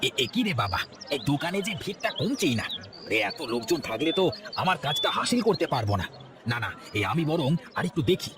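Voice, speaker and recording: Sly, lively village thief. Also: male voice, a little high, brisk. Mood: furtive. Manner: theatrical, wheedling. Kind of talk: cartoon voice acting. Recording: clear ambient noise behind voice.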